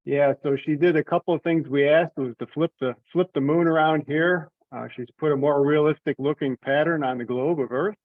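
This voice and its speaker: A man's voice: on the high side, steady tone, moderate pace, few pauses, no room sound. A man informally presenting slides in an online meeting, thinking aloud in a hesitant way. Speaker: telecon presenter walking through slides. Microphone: online conference call. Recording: narrow tinny conference-call line.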